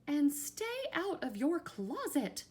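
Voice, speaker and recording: A woman's voice: high-pitched, wildly swooping pitch, takes it slowly, a little mellow, voice pushed up, heavily acted. A woman voicing an exasperated, bossy storybook mother cat who lectures emphatically. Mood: stern, scolding.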